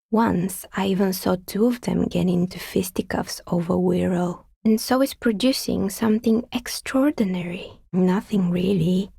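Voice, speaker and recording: A calm, wry artisan woman in a video game, a maker of novelty dice. Her voice is breathy and husky with a gravelly creak, captured close and dry. She talks in a measured, understated way. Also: mid-range voice, no room sound, exaggerated voice. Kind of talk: video game character dialogue.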